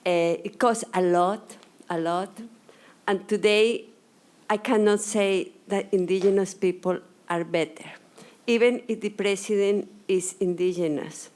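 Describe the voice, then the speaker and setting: Halting woman commenting from the audience. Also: medium pitch, steady tone, a little mellow. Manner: halting, searching for words. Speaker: audience member. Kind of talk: question period comment.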